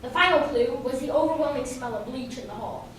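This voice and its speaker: A woman acting as a calm, confident male police detective in an amateur radio drama. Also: high-pitched, a little fast. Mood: assured. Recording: very echoey hall, distant mic, clear noise.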